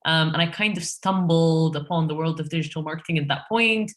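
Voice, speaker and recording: A woman from Egypt answering interview questions on a podcast in a relaxed way, with frequent fillers and restarts. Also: sits low, a little fast. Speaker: podcast guest, head of SEO.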